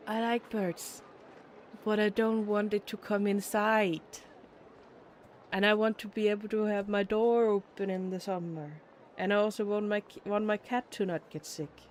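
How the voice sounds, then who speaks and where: A streamer chatting casually in a rambling, giggly, expressive way. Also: medium pitch, slight background noise. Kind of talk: casual livestream chat.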